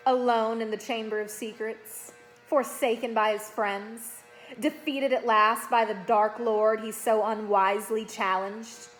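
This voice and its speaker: A woman's voice: a little high, fairly even intonation, a little slow, faint music behind, natural voice, poor audio. A woman voicing a menacing teenage male villain in a children's fantasy storybook, taunting, cold and self-satisfied. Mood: triumphant taunting.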